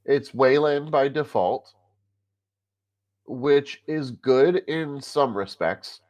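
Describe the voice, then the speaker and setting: A man with a stuffy, congested voice, co-hosting a casual tech podcast over a remote call and pausing often with filler sounds. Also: mid-range voice, darkish tone. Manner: halting, many filler sounds. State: congested from a cold. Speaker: podcast co-host.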